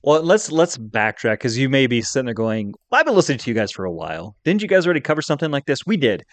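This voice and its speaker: A male podcast co-host talks in a middle-pitched voice. He is chatty and conversational, rambling casually from one point to the next. The sound is close and dry, with very little room in it. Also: big pitch swings, quick pace, no room sound.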